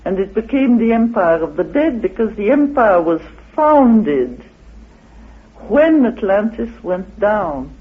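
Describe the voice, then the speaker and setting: Emphatic high-voiced man on tinny radio. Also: sing-song, husky. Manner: emphatic, halting, repeats phrases for weight. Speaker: interviewed guest. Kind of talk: impassioned explanatory discussion. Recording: narrow, tinny old radio recording.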